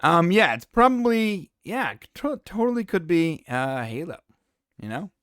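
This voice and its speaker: A man's voice: high voice, big pitch swings, a little slow, a bit bright, smooth, no echo, studio quality. A man co-hosting a podcast, chatting casually and agreeing with enthusiasm. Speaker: podcast co-host. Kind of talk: casual chat.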